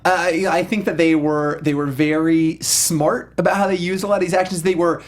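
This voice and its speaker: A man's voice: a little high, fairly even intonation, a little fast. A man co-hosting a review show, chatting casually and emphatically, repeating words for stress. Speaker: co-host of a film review show.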